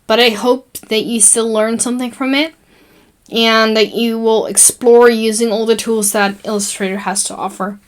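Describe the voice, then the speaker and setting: Young Dutch woman with a Dutch accent, relaxed and rambling as she narrates her own screen-recording tutorial. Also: fairly high pitch, moderate pace. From Netherlands. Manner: chatty and rambling, with many fillers and self-corrections. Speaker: tutorial host.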